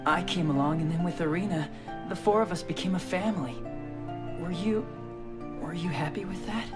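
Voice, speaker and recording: An actor playing an earnest, determined teenage son in a video game drama. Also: male voice, high-pitched, normal speed, lightly acted. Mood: troubled, searching. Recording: music behind the voice. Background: music.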